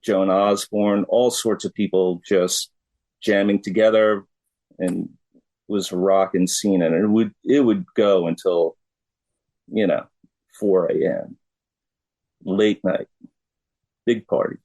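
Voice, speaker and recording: A middle-aged man from the United States talks as an interview guest. His voice is fairly low, and he speaks very slowly and haltingly, in a casual conversational style. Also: steady tone, a little mellow.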